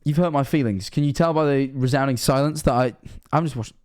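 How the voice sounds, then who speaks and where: A man's voice: medium pitch, quick pace, darkish tone. A Scottish man of 21 streaming casually, rambling and self-mocking, swearing often.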